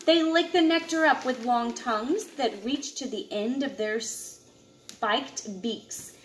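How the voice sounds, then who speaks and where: A woman in her thirties reading a children's nature book aloud at home in an expressive way, with asides to young listeners. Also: on the high side, slow pace. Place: indoors, open living room and kitchen at home. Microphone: camera a short distance away. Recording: echoey room with clear background noise.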